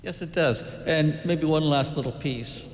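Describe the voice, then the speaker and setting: A man's voice: on the high side, a little slow. A man moderating a public Q&A, hesitant and searching for words. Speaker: event moderator. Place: large church hall. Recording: long reverb in a church hall.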